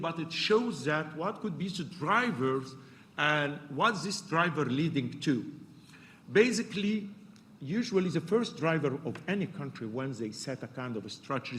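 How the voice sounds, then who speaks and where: Male panelist, loud and halting, presenting in a reverberant lecture hall with faint noise. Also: fairly high pitch, lively intonation. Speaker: panel speaker. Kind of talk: conference presentation.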